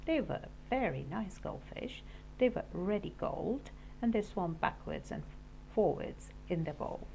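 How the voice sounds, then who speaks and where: A woman teacher reading a children's storybook aloud in an expressive, deliberate way. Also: mid-range voice, vocal fry, slight background noise, poor audio. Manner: animated, deliberate storytelling for young listeners. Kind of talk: expressive children's audiobook narration.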